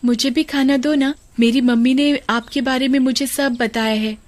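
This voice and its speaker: A sweet little girl played by a woman with a high voice. She sounds trusting, in storybook cartoon style. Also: fairly even intonation, moderate pace, close to an everyday voice. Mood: sweet, eager. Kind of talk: animated story voice acting.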